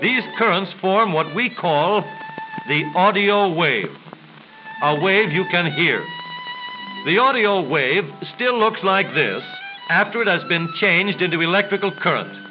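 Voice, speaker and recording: Guy doing formal 1930s explainer narration, high voice, old dull soundtrack, music behind. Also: expressive, a little slow. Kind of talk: 1930s educational film narration.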